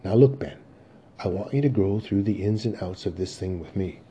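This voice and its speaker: A man's voice: fairly low pitch, moderate pace, dark tone, a little hiss or hum, close to an everyday voice. A man voicing a desperate, rambling aging salesman who pleads insistently and repeats himself. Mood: urgent, pleading.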